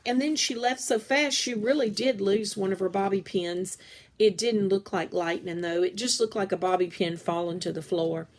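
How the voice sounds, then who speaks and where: Lady reading a kids chapter book out loud, expressive storyteller style. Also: medium pitch, normal speed. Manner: animated, acts out the dialogue. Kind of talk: expressive read-aloud narration of a children's novel.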